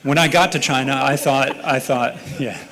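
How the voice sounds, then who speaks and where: A man's voice: medium pitch, normal speed, pushed and bright. American man musing on a panel. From United States. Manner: thoughtful, self-correcting, conversational. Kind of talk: panel discussion.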